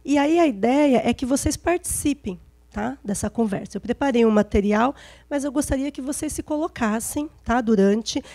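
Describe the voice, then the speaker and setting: A middle-aged Brazilian woman, slightly hoarse, giving a conversational public lecture into a handheld microphone, often adding small checking tags. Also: a little high, moderate pace, warm tone, no room sound. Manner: informal, frequent checking tags like "né". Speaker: lecturer, gerontology specialist. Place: event stage before seated audience, indoors.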